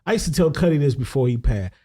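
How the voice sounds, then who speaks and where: A man's voice: mid-range voice, animated, quick pace, dark tone. A man with an Atlanta Southern accent hosting a casual interview, talking in an emphatic, slangy way. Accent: Atlanta Southern American. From Atlanta, United States. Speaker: podcast host.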